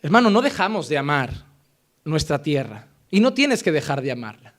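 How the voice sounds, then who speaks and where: High-voiced Spanish preacher man. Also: very animated, moderate pace. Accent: Spain Spanish. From Spain. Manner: impassioned preaching, swinging between loud emphasis and quiet earnest passages. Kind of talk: sermon.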